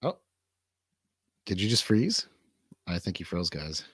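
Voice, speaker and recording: A man's voice: deep voice, very animated, slow, fairly bright tone, breathy edge, no echo, studio quality. A man co-hosting a livestream in casual banter, with a rambling, slangy, jokey way of talking. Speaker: livestream co-host.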